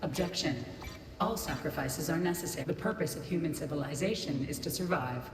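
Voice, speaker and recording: A cold machine intelligence with a low female voice played by a woman. It issues formal, procedural statements without feeling, like a video game system guardian, ringing through a long reverb over loud noise. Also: brisk, close to an everyday voice. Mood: impassive. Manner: formal, clipped, procedural announcements. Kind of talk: scripted video game voice acting. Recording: echoey reverberant hall, loud background noise.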